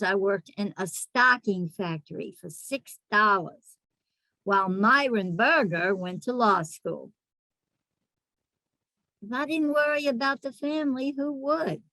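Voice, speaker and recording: A bitter, hard-working matriarch of the Depression years played by a woman delivers a stage monologue. Her voice sits fairly high and she speaks slowly, scolding and insisting on her own sacrifices, in a dry recording. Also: bright, forceful tone, no echo, full character voice, rough recording. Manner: emphatic, scolding, self-justifying. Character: hard-working, embittered, domineering Depression-era family matriarch. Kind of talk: theatrical dramatic monologue.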